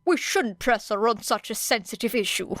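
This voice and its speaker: A woman's voice: a little high, lively intonation, normal speed, a bit bright, no room sound. Woman doing an earnest, firm teen boy. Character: earnest, firm teenage boy class leader.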